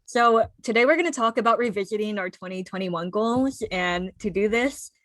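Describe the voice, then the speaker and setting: A woman's voice: medium pitch, moderate pace, fairly bright tone, no echo. A woman in her twenties with an American accent, co-hosting a podcast in an informal, upbeat, chatty way. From United States. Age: 20s. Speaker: podcast co-host. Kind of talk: casual chat.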